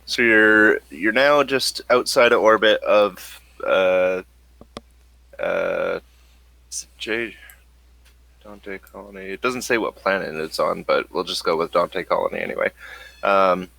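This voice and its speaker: A man running a tabletop role-playing game as game master, improvising narration in a halting, thinking-aloud way. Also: low voice, animated, vocal fry, pushed and bright, no echo, poor audio.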